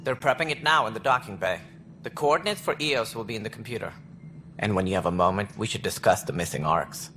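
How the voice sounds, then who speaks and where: An actor playing a calculating, self-assured alien director in a video game, speaking in a measured, formal, condescending way. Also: male voice, on the low side, expressive, fairly bright tone, slight background noise. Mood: formal, grave. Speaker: director of a space initiative. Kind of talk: video game cutscene acting.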